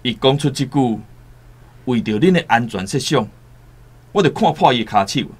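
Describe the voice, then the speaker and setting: A Taiwanese-accented actor playing a cautious, worldly returned seafarer in a radio drama, solemn and deliberate. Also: male voice, medium pitch, expressive, husky. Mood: grave, cautious. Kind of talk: radio drama acting with first-person storytelling. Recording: music behind the voice.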